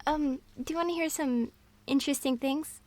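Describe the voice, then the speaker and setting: A woman in an intimate girlfriend roleplay, rambling through fun facts in a scatterbrained, excitable way. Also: sits high, normal speed, no room sound. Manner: scatterbrained, excitable, jumps between topics. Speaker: audio roleplay performer.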